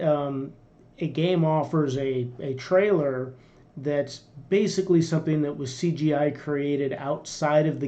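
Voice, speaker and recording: Rambling middle-aged man, mellow voice. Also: fairly high pitch, highs cut off. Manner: rambling, with many fillers and restarts. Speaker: reaction video host. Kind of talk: casual commentary. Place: small room indoors.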